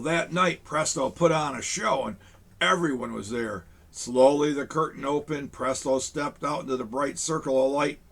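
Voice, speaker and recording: Gravelly man reading a children's book. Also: a little high. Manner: warm, chatty grandfatherly asides to children. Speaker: storyteller in a Santa persona. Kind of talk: children's book read-aloud. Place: small studio set, indoors.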